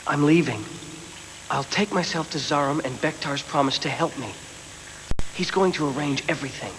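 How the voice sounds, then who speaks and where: A man's voice: on the high side, moderate pace, lightly acted. A man acting a desperate, headstrong young man on the run, earnest and urgent, in an English anime dub. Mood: defiant. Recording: noisy VHS tape, long hollow reverb.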